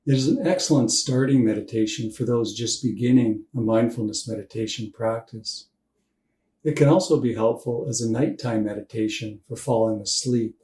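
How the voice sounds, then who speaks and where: A man leads a guided meditation in a soft, dark-toned voice, taking his time and leaving space between phrases. His delivery is gentle and calm, made for drifting off to sleep. The recording is roomy, with him sitting some distance from the microphone. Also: medium pitch, a little hiss or hum. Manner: calm, unhurried, gentle instruction with long pauses. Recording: roomy echo, distant from mic.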